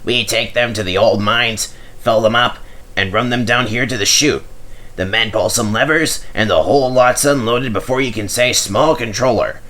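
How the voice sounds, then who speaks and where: A man's voice: medium pitch, normal speed, sharp tone, close to an everyday voice. A man voicing a small, touchy engine in a children's story, blunt and grumbling. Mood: boastful. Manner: grumpy, blunt.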